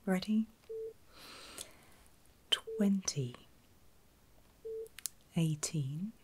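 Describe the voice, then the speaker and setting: A woman whispering in a breathy, soothing and reassuring way, as a caregiver in an ASMR medical roleplay. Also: low-pitched, mellow. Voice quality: breathy, mostly unvoiced whisper. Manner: soothing, reassuring, slow and deliberate. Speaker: ASMR performer playing a surgical nurse.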